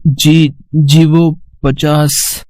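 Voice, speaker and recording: An actor playing a poor, humble young beggar in a moral story, speaking in an earnest, meek, pleading way. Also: male voice, on the high side, level tone, very slow, darkish tone, dry recording. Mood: nervous.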